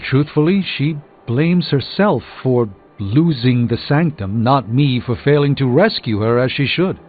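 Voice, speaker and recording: An actor playing an erudite, haughty middle-aged sorcerer superhero in a video game, speaking formally with dry, measured articulation. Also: male voice, medium pitch, animated, phone-quality sound, slight background noise, natural voice. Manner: formal, dry, slightly pompous. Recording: band-limited game audio under music.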